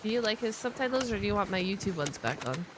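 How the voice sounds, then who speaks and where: A woman's voice: mid-range voice, expressive, fast talker, noisy background. A woman streamer chatting casually as she reacts to a video, in an informal, amused, exclamatory way.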